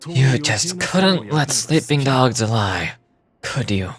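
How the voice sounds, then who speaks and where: A man playing a menacing crime boss in a fantasy game, with a cold, taunting, deliberate delivery. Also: mid-range voice, very animated. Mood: cold threat. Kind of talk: dramatic video game voice acting. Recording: music behind the voice.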